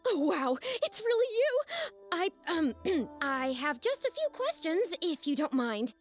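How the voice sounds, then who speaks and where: Very high, eager, flustered girl reporter. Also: sing-song, unhurried, soft background music, full character voice. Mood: excited, flustered. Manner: breathlessly enthusiastic, stammering. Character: eager, starstruck, flustered young female journalist. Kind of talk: video game voice acting.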